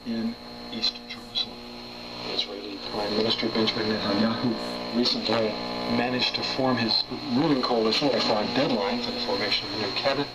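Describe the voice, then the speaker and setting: A man's voice: on the low side, steady tone, brisk. A man reading a scripted news report as a radio newsreader. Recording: very noisy shortwave radio reception.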